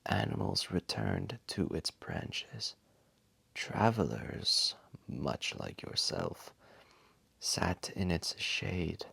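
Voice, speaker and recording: Huge ancient dragon, deep creaky voice, extremely slow, solemn, tender, wise storyteller. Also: male voice, very animated, bright, forceful tone, dry recording, full character voice. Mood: tender, wistful. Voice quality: gravelly, breathy, half-whispered. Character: ancient, massive, wise dragon, gentle and remorseful. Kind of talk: slow dramatic storytelling to a single listener.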